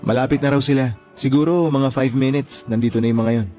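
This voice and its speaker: An actor playing an attentive, easygoing young Filipino boyfriend in a radio drama. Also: male voice, medium pitch, steady tone, a little fast, mellow, faint music behind, lightly acted. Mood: reassuring.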